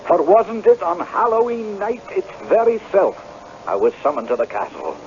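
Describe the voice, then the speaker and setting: An actor playing an earnest, easily flustered young Scottish tenant in a radio drama, with a stammering, agitated way of telling things. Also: male voice, high-pitched, huge pitch swings, moderate pace, a little mellow. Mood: dramatic narration. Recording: dull, noisy old radio recording.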